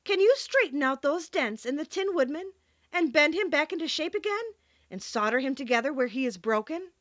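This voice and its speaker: A woman's voice: high-pitched, expressive, a little fast, a bit bright, no echo, voice pushed up. A woman voicing an earnest, eager young girl heroine in a storybook reading. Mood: earnest, urgent.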